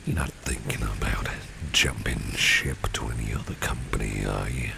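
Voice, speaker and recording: A man playing a protective, flirtatious boss with courtly manners in an ASMR roleplay, speaking in a gravelly, breathy half-whisper. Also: rumbling low, full character voice. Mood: flirtatious, admiring. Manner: slow, suave, courtly phrasing. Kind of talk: ASMR roleplay spoken to the listener. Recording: steady rain and street ambience noise. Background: rain falling, passing vehicles.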